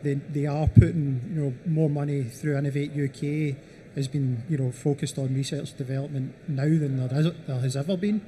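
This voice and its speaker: A man's voice: fairly high pitch, fairly even intonation, moderate pace, mellow, slight background noise, low-quality recording. A man speaking as a panelist in a panel discussion, leaning on "you know" as filler. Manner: repeats "you know" often.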